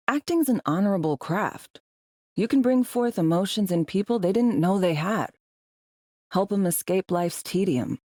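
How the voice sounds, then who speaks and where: Low-voiced, wry spy. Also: female voice, mellow, no echo. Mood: wistful, candid. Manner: dry, sardonic, slangy and clipped. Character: world-weary, wry female undercover spy in a dystopian city. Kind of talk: video game voice acting, conversational dialogue.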